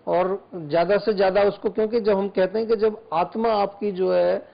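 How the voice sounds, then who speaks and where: A male Ayurvedic doctor on a studio health show, calmly explaining remedies and advice step by step. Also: high voice, moderate pace, gravelly, muffled recording. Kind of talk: studio health talk, advising callers.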